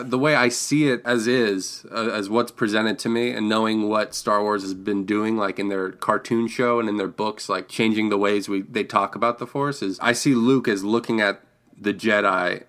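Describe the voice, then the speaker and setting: Low-pitched man in casual film talk. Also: fairly bright tone. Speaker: podcast guest.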